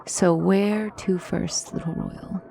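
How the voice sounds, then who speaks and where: A woman playing a poised, formal demonic tutor in a fantasy ASMR roleplay, speaking as a wise and gently reassuring mentor with measured, courtly phrasing. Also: fairly low pitch, fairly even intonation, creaky, a little hiss or hum. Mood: warm, inviting. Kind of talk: ASMR fantasy roleplay, scripted character acting.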